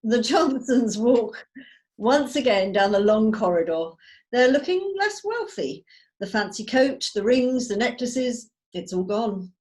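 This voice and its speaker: A woman's voice: on the high side, big pitch swings, unhurried, a little mellow. A woman giving scripted narration, reading out stage directions on a video call.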